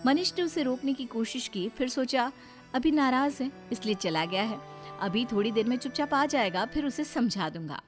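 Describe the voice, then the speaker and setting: A woman's voice: fairly high pitch, expressive, moderate pace, soft background music. A woman giving scripted narration of a story.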